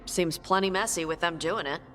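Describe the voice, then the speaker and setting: An actress playing a blunt, brash, informal warrior in a fantasy video game cutscene. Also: female voice, on the low side, a little fast, natural voice. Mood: brash, amused. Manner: blunt, slangy, animated.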